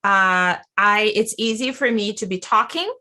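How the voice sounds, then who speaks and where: A woman hosting an interview, her voice bright and forward. She talks eagerly and loosely, restarting sentences and stacking questions as she goes. Her enthusiasm carries every line. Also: mid-range voice, a little slow. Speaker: podcast host. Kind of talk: casual interview.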